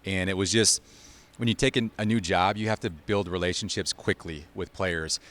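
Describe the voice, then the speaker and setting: Deep-voiced man, informal coach in interview. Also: fairly even intonation, normal speed, fairly bright tone. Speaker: college basketball head coach. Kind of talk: sit-down sports interview.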